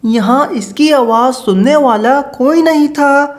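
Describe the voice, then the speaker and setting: A woman narrator with a high voice tells a children's story. She reads scripted narration for an animated bedtime tale. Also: measured pace.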